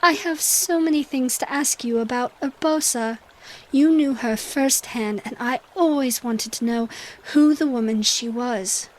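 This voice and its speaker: A woman's voice: high voice, measured pace, poor audio. A woman playing a young desert chief in a fantasy audio drama, courteous, curious and eager with a mischievous streak.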